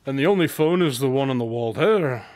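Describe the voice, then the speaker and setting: An actor playing a cerebral, self-assured detective in a radio drama, speaking in a precise, pondering, condescending way. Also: male voice, mid-range voice, animated, fairly quick, a little mellow, no echo, natural voice. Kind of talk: scripted radio drama dialogue.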